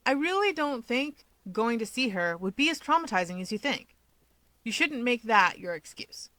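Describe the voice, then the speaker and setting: A woman's voice: a little high, very animated, moderate pace, pushed and bright, no echo, pitched above the performer's own voice. A woman voicing an earnest, teasing young man who keeps pushing in a casual way.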